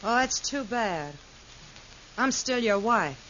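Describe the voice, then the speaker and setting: A woman's voice: fairly high pitch, expressive, slow pace, a bit bright. An American woman playing a brazen, greedy frontier wife, coy and sassy with false sweetness, in a 1950s radio western. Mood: defiant. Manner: coy, mock-innocent, sassy. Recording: dull, hissy 1950s radio recording.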